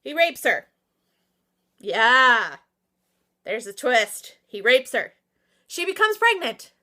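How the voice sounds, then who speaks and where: A woman chatting casually to viewers as a book reviewer, excitable, emphatic and slangy, with theatrical asides and exclamations. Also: a little high, takes it slowly. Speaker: book reviewer and vlog host. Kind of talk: casual, opinionated book recommendation chat to viewers.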